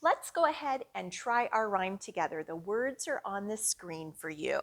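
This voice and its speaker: A woman leading a storytime for young children, reading expressively and guiding them along in an encouraging, teacherly way. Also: medium pitch. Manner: slow, expressive, encouraging, with clear teacherly guidance. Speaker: children's librarian hosting storytime.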